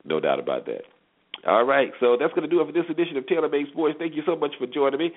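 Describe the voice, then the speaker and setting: A man hosting a sports show, giving conversational commentary and recaps with pauses and repeated words. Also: on the high side, animated. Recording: tinny narrow-band phone-like sound.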